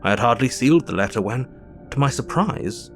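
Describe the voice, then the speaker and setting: An actor with an English accent playing an earnest, grieving Victorian doctor, narrating his diary in measured, formal speech for an audio drama. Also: mid-range voice, animated, soft background music, lightly acted. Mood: sober, measured.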